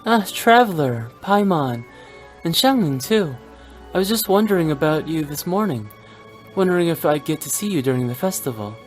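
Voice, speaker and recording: A woman's voice: low-pitched, expressive, moderate pace, faint music behind. A woman playing a gracious, composed female civil official in a video game, speaking courteously. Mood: friendly, busy. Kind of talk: animated game dialogue.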